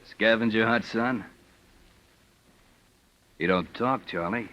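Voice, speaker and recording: An actor playing a wry, sardonic small-town police captain who needles a suspect, in a vintage radio detective drama. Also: male voice, fairly low pitch, takes it slowly, soft background music. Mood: wry, needling. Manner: drawling, sardonic, calls the suspect son. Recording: dull vintage radio recording.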